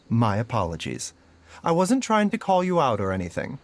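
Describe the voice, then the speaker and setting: An actor playing a gentle, scholarly doctor in a fantasy game, speaking in a measured, polite and cautious way. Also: male voice, medium pitch, huge pitch swings, a little hiss or hum. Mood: gentle, apologetic. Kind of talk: scripted game voice acting. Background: background music.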